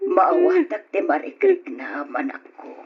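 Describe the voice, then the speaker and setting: A woman's voice: medium pitch, wildly swooping pitch, measured pace, a crowd in the background. A woman playing a consoling, sorrowful middle-aged mother in an old radio drama, with an Ilocano Filipino accent. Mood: consoling, mournful. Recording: dull radio recording under loud music.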